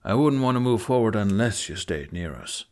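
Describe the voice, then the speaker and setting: A man voicing a proud, gruff Viking chief with a dry, commanding manner in an audiobook. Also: lowish voice, animated, moderate pace, darkish tone, natural voice.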